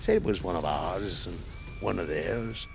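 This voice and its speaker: An actor playing a haunted former cab driver telling a grim memory in a radio play, halting and emotive. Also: male voice, low-pitched, vocal fry, faint music behind. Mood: anguished. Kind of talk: dramatic radio play monologue.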